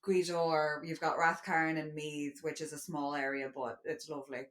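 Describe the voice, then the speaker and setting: A woman's voice: sits low, level tone, normal speed. A woman with an Irish accent co-hosting a podcast, explaining things in casual chat. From Ireland. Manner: chatty, explanatory. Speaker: podcast co-host.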